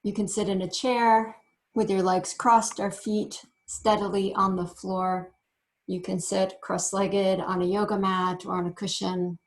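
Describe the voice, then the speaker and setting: Slow-paced woman guiding a meditation. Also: mid-range voice, level tone, low-quality recording. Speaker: yoga meditation teacher. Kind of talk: guided meditation.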